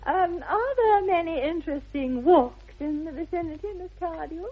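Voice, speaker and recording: A woman's voice: extremely high pitch, moderate pace. An actress playing a haughty young upper-class English society lady in a 1960s radio comedy, grand, precise and condescending. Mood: stiffly polite. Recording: thin, phone-like old radio recording.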